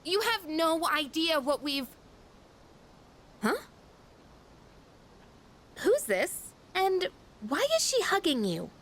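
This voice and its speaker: A woman playing an excitable, easily startled teenage schoolgirl in a video game. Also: high voice, slow pace, long pauses, exaggerated voice. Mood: puzzled.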